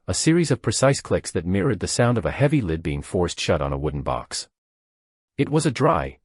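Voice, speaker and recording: Deep-voiced man narrating horror, raspy edge. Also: darkish tone, no echo, clean studio sound.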